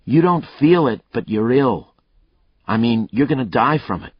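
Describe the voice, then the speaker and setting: A man's voice: lowish voice, normal speed, breathy edge, no echo, highs cut off, pitched above the performer's own voice. A male narrator voicing a blunt, determined police detective in an audiobook, talking in an urgent, plain way.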